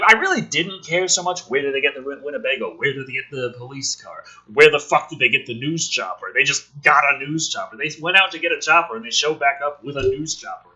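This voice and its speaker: A man's voice: fairly high pitch, slightly rough, low-quality recording. A man reading a film script dramatically as a video host, breaking off for casual, sweary, sardonic commentary. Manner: theatrical, animated delivery.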